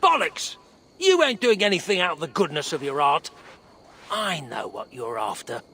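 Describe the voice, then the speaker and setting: A gruff British dwarf broker in a fantasy video game. He is blunt and irritable, with a sharp, high-set, gravelly voice that swings widely in pitch. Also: sing-song, normal speed, slight background noise, heavily acted. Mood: angry, bitter. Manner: blunt, irritable, swearing slang. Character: gruff, grumbling, grieving dwarf broker, crude-tongued. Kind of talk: video game cutscene acting.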